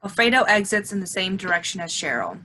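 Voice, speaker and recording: A woman's voice: medium pitch, sing-song, fast, pushed and bright, poor audio. A woman reading the scripted stage directions of a play, with some background noise.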